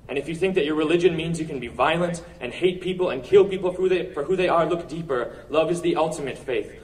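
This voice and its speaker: A man performing spoken word poetry at a live open-mic event, with earnest, rhetorical delivery and deliberate pauses. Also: medium pitch, recorded from a distance, low-quality recording. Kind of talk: poetry recital, slam-style spoken word.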